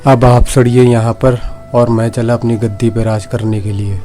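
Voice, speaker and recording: A man's voice: medium pitch, level tone, moderate pace, mellow, soft background music, natural voice. A man playing an arrogant, vengeful young prince in a children's story, scornful and taunting. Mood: scornful, gloating.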